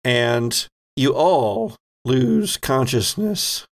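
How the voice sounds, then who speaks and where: A man narrating a tabletop horror game as game master, improvising his phrasing with dramatic pauses. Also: mid-range voice, big pitch swings.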